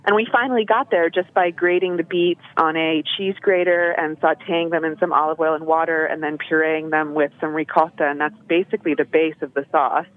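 A woman's voice: sits low, steady tone, a little fast, hardly any pauses. Phone-in guest: young woman, bubbly, lots of fillers, thin phone-line sound. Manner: bubbly, laughing often, many fillers. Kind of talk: casual phone interview.

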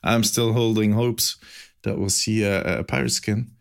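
A man's voice: on the low side, fairly even intonation, a little slow, gravelly, smooth. A man with a Belgian accent hosting a casual gaming podcast, rambling and laughing at himself. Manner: rambling, self-mocking, laughs often. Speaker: podcast host.

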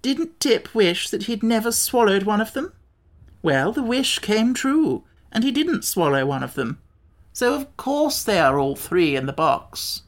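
A woman's voice: medium pitch, sing-song, a little slow, voice pushed up. A woman voicing a self-assured, stubbornly logical male insect from a storybook, declaring things in a lecturing way. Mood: matter-of-fact.

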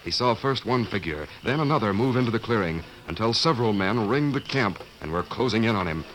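A man's voice: fairly low pitch, animated, brisk, fairly bright tone, slight background noise, low-quality recording. A man narrating a scripted western adventure in 1950s radio drama style. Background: music.

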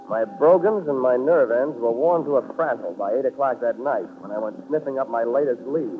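A man's voice: medium pitch, noisy background, natural voice. An American man playing a hard-boiled, wisecracking private investigator in a 1940s radio drama, with a wry, clipped delivery. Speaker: lead actor and narrator. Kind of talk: 1940s radio detective acting and first-person narration. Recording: muffled, phone-like 1940s radio recording, music behind.

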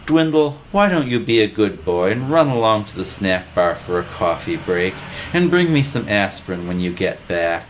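A man's voice: lowish voice, sing-song, normal speed, hardly any pauses, a little hiss or hum. A man voicing an exasperated, educated male boss who talks emphatically in rhetorical questions, in a storybook reading. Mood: weary.

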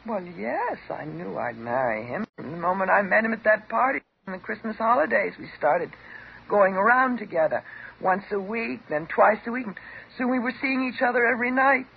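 An actress playing a bitter, wounded divorced housewife, sarcastic and dramatic, in the style of 1950s radio drama. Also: female voice, medium pitch, expressive, normal speed, gravelly. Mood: wistful, disillusioned. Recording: muffled, noisy 1950s radio recording.

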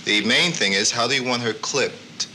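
A man's voice: mid-range voice, normal speed, pushed and bright, slight background noise. A man explaining options to a customer in a plain-spoken, matter-of-fact way. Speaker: dog groomer.

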